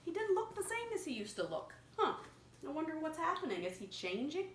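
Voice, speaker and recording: A woman reading a picture story aloud to a young child, pausing to explain words simply and prompt repetition. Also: sits high, sing-song. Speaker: children's story reader and teacher. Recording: echoey room with clear background noise.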